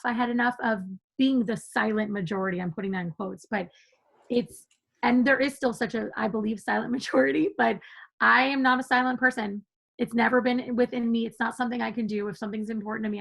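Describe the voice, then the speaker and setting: A woman with a fairly high voice that rasps and crackles with fry, speaking as a podcast guest. She talks with passion and emphasis, pressing her points in relaxed conversation. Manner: passionate, emphatic, quick to laugh. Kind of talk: conversational interview.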